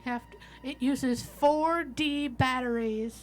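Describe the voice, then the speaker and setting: A woman's voice: sits high, slow pace. A woman chatting casually with fellow players during a tabletop roleplaying game. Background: music.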